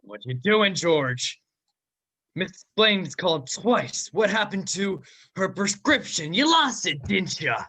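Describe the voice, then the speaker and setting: A man's voice: high-pitched, big pitch swings, slow pace, pushed and bright, husky, exaggerated voice. A man playing a harsh, scolding old druggist boss in a radio play, recorded over a home video call. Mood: angry, scolding. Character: angry, grief-stricken old male druggist boss. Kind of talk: 1940s-style radio play acting. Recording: home video-call audio, some background noise.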